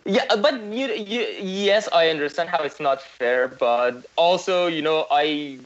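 A man from Iran, a podcast guest talking in a casual discussion, animated, with frequent restarts and fillers. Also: on the high side, measured pace. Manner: animated, many restarts and fillers, laughs mid-sentence. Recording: dull, slightly lo-fi remote audio.

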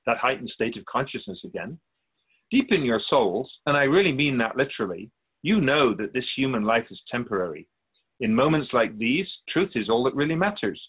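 Male actor as soul-keeping spirit, radio drama, husky, measured, instructive, mid pitch, tinny narrow band. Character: Keeper of Souls, wise otherworldly spirit speaking of humanity. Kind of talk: radio drama dialogue in interview form.

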